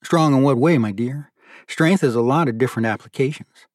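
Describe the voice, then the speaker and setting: A man voicing a gentle, fatherly older gentleman in an audiobook, measured and wry. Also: medium pitch, no room sound, clean studio sound, pitched above the performer's own voice, natural voice. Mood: wryly curious. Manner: measured, kindly, wry, formal wording.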